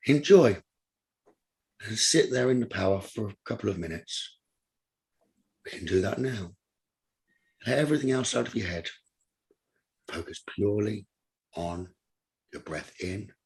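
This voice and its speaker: A man leading a guided meditation as a teacher, with a husky voice, speaking in calm, instructive short phrases with pauses. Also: on the low side.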